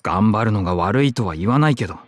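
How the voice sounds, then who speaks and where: A man acting a fussy, animated effeminate young man. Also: lowish voice, rapid-fire, no room sound, lightly acted. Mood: concerned, chatty. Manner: feminine speech, expressive, nagging. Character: effeminate, chatty, fussy young man, sweet-toothed and excitable. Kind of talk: Japanese visual novel voice acting.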